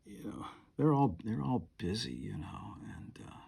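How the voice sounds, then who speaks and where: Man, 70s, husky and lowish, meandering talk to camera with many asides. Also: big pitch swings, a little slow, a little mellow. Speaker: piano and harp player. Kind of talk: rambling monologue to camera. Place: home.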